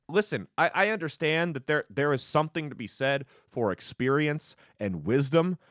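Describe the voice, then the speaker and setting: Twenties male commentary host, sarcastic and mocking, animated delivery, dry close-miked sound. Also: medium pitch, no room sound. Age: 20s. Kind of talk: opinionated political commentary.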